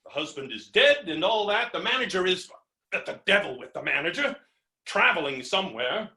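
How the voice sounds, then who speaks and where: A man's voice: high voice, very animated, moderate pace, sharp tone, full character voice. A man playing a blustering, hot-tempered creditor in a theatrical stage comedy reading, ranting with exasperated, melodramatic exclamations. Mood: exasperated griping. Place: indoor room, recorded remotely. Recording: roomy, echoing virtual staged-reading recording.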